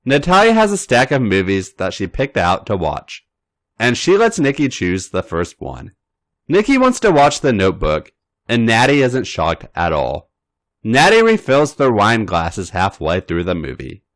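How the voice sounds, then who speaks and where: A man's voice: on the low side, wildly swooping pitch, a little slow. A man hosting a podcast, reading a story aloud in scripted narration. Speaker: podcast host and story reader.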